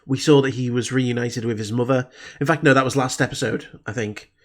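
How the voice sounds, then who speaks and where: A man's voice: mid-range voice, steady tone, brisk. A young British man with a slight head cold, chatting casually about a show with constant fillers and restarts. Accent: British English. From Britain. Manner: rambling, many fillers and restarts. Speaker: reaction video host. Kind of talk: casual review chat.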